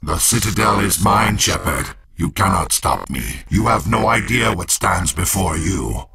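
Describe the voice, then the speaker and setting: An actor playing an imperious machine overlord speaking through a possessed body in a video game, harsh and disdainful in a declamatory style. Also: male voice, sits low, expressive, unhurried. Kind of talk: dramatic video game acting.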